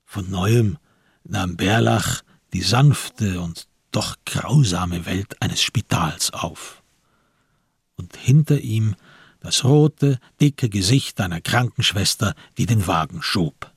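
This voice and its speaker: A husky male narrator reads literary radio drama. His delivery is slow, grave and deliberate, in a lowish voice recorded close and dry. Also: lively intonation, no echo.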